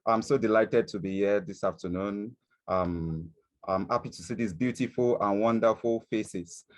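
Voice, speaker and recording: Lower-voiced man, guest speaker, polite, dry call sound. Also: moderate pace, no echo. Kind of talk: formal opening greeting. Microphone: video call.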